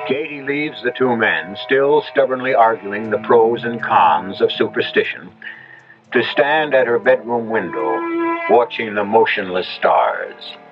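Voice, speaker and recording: Lowish-voiced man narrating old radio drama. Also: measured pace, muffled recording. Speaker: host and narrator. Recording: band-limited old radio recording, music behind.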